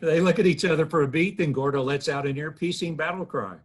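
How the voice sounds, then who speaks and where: A man's voice: a little high, quick pace, husky. A man reading out stage directions as scripted narration at a table read over video call.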